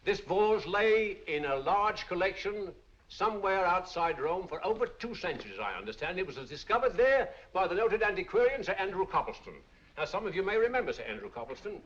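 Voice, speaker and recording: Showy, lively British auctioneer. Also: male voice, sits high, a little fast. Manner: theatrical, persuasive, repetitive patter. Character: brisk, showy London auctioneer, smooth salesman. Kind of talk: auction patter, vintage film acting. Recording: dull vintage film soundtrack.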